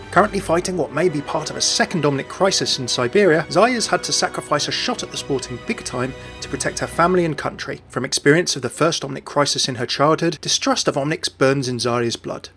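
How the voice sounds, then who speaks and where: A man's voice: a little high, fairly bright tone. A man hosting and narrating a video series in a scripted, explanatory style about game lore. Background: faint music.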